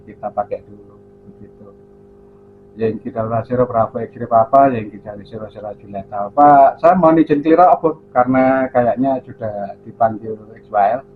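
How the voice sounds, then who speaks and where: A man chatting casually and a little hesitantly as an amateur radio operator on a two-way radio. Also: medium pitch. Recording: narrow phone-like sound, faint hum.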